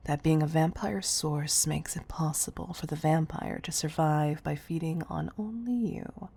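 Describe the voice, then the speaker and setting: Woman acting stern, low-voiced female CEO. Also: creaky, dark tone, slightly rough, heavily acted. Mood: measured, lecturing. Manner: exasperated, clipped, deliberate emphasis. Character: stern, commanding female CEO scolding an employee. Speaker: audio roleplay performer. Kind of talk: scripted audio roleplay monologue.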